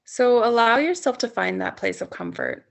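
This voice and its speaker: A woman leading a guided sleep meditation as a yoga nidra instructor, speaking soothingly in gently coaxing, unhurried phrases with long pauses. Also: fairly high pitch, lively intonation, vocal fry.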